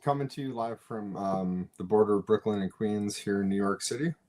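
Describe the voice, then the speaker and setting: Congested low-voiced male co-host. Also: a little fast, crowd noise. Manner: easygoing, hesitant with fillers. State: congested from a cold. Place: home studio in New York.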